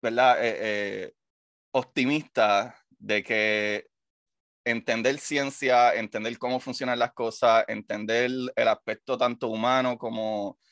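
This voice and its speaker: A man's voice: mid-range voice, fairly even intonation, slow, a bit bright, dry recording. Enthusiastic man, dry sound. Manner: enthusiastic, hesitant with fillers. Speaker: interview host. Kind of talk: casual interview conversation.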